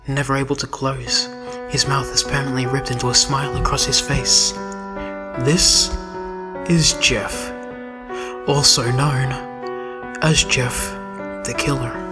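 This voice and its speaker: A man's voice: mid-range voice, little pitch movement, unhurried, pauses often. Male horror narrator over music. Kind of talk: dramatic scripted horror narration.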